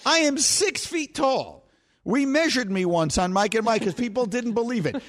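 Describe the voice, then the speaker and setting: A middle-aged man hosting a sports talk show, telling stories with animated self-mocking humor. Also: very high voice, normal speed. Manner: animated storytelling, laughs at himself.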